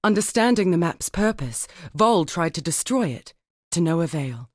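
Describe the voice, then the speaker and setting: An actress playing a grieving, learned woman survivor in a dark fantasy video game, speaking in a measured, formal, wistful way. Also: on the low side, dry recording, studio quality, natural voice. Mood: grave, foreboding. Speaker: non-player character in a video game. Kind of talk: dramatic scripted game dialogue.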